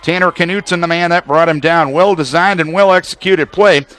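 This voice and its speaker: A man's voice: fairly high pitch, steady tone, normal speed, a bit bright, clear, resonant tone. An American man calling football play-by-play as a radio announcer, in precise, detailed, clipped phrases. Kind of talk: live sports play-by-play commentary.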